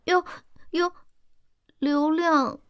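A woman's voice: sits high, steady tone, drawn-out words, no room sound, studio quality. A woman playing a timid, earnest, educated employee in a radio drama, hesitant and deferential as she speaks up. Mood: nervous, submissive. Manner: hesitant, deferential, meekly objecting.

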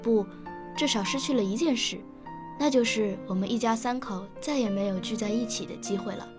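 A very high, childlike voice of an earnest young girl acted by a woman in a radio drama. She is eager and emotional, speaking over music. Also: close to an everyday voice. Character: earnest, emotional nine-year-old girl who lost a parent. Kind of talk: radio drama acting with first-person narration.

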